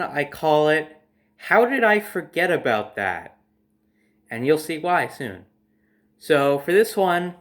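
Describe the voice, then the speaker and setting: Young male storyteller, fairly high pitch, casual and emphatic, dramatic delivery of personal anecdotes. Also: a bit bright. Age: young adult. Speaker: storyteller host.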